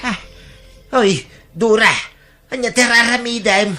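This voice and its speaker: A woman's voice: fairly high pitch, steady tone, slow pace, over music. A woman playing a wary adult friend in a family radio drama, reproachful and exclaiming. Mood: alarmed, scolding.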